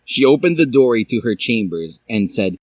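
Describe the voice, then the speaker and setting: A man narrates a story with theatrical comic flair. His mid-range voice swings widely in pitch, and the sound is dry and close. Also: big pitch swings, normal speed, no echo, rough recording. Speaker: reader of a fan fiction story aloud. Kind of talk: dramatic comic story reading.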